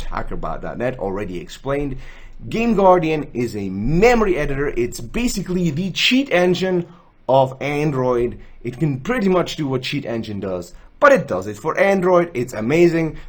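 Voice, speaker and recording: A young man from Switzerland with a Swiss accent, hosting a chatty screen-recorded tutorial from a small room, joking at himself as he explains. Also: mid-range voice. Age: young adult. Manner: chatty, self-joking, gestures while explaining. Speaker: gaming tools channel host. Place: indoors, small room, gaming chair.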